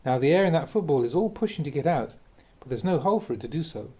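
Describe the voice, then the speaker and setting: A man, a Buddhist monk, reading a dharma talk aloud in a measured, reflective way with the occasional soft chuckle. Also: a little high, animated.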